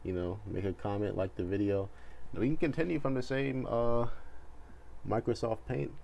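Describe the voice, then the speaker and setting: A young man with a deep, low voice talks off the cuff in a laid-back, meandering way, like a creator chatting while he works. He hesitates and thinks out loud. It is a home recording with faint noise behind him. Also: steady tone, normal speed, vocal fry. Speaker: video creator and host. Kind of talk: casual rambling chat while drawing. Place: bedroom at home, indoors.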